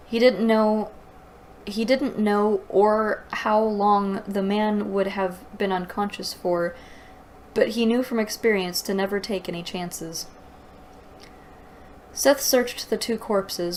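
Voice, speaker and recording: A woman's voice: mid-range voice, slight background noise. A woman hosting a book review, reading aloud and commenting with exasperated sarcasm. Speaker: host of a book review show.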